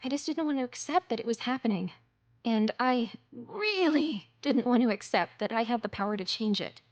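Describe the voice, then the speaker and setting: A woman's voice: fairly high pitch, lively intonation, moderate pace, no room sound, dull audio, lightly acted. An actress voicing a young hacker revolutionary in a video game cutscene, confiding and dryly sardonic, with swearing in her speech. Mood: haunted, earnest.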